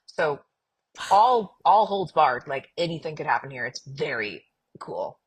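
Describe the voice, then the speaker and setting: Husky, enthusiastic woman podcast co-host. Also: low voice, big pitch swings, a little slow, low-quality recording. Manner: informal, enthusiastic. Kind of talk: casual podcast discussion.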